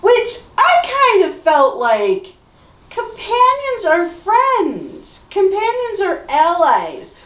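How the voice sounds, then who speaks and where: A woman's voice: very high-pitched, huge pitch swings, extremely slow, fairly bright tone. A middle-aged woman chatting casually as co-host of a fan discussion show. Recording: echoey room.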